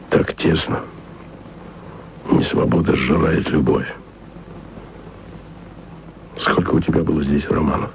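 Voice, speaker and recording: Very deep, gravelly, lovesick man. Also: a little mellow, a little hiss or hum, full character voice, poor audio. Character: lovesick, weary, jealous male radio worker. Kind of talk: intimate radio play monologue.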